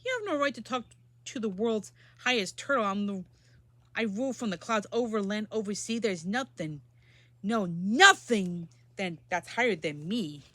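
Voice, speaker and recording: A woman's voice: mid-range voice, expressive, normal speed, sharp tone, no echo. Woman voicing a pompous, bellowing turtle king. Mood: angry. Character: boastful, bossy male turtle king, loud and demanding.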